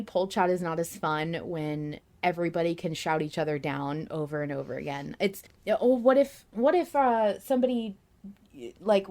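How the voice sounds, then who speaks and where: A woman with a somewhat low voice co-hosts a fan podcast. She talks casually and expressively, in a chatty, conversational way. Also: darkish tone. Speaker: podcast co-host.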